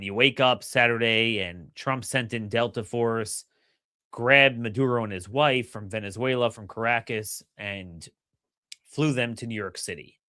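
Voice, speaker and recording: A middle-aged man hosting a solo political commentary show, slightly hoarse, energetic and opinionated, often repeating words as he starts a sentence. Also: mid-range voice, no room sound, clean studio sound. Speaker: podcast host.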